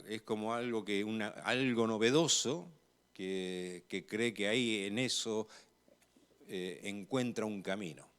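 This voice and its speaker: A man with an Argentine accent preaching a sermon in a measured, emphatic way, growing louder on key phrases. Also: fairly low pitch.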